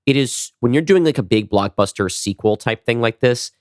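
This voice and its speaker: A man's voice: mid-range voice, lively intonation, no echo, studio quality. A man co-hosting a podcast, talking casually about writing and often restarting as he works out his thoughts. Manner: halting, repeats words as he works out thoughts. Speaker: podcast co-host and writer. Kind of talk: casual behind-the-scenes podcast chat.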